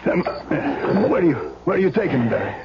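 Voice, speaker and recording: A man's voice: sits high, very animated, a little fast, gravelly, exaggerated voice. An actor playing a folksy, wisecracking man who supplies corpses, casual in an old radio crime drama. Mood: wry, curious. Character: folksy, joking male supplier of stolen corpses. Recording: noisy muffled old radio recording.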